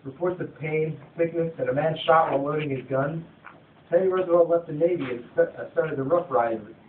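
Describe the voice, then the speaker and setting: A young man reads a scripted narration with occasional stumbles over words. His voice is a little high, and it comes through far from the microphone with clear background noise. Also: brisk. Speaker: narrator of a student puppet show. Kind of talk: scripted narration read aloud. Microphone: phone from across the room. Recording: tinny phone recording, distant, echoey, noisy.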